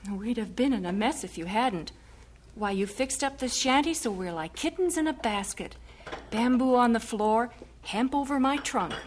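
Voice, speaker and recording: Audio drama: actress as homely young-sounding mother, patient instructor, slightly high, animated, faint noise behind. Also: moderate pace, natural voice. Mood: homely, appreciative. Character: patient missionary wife and mother teaching housework.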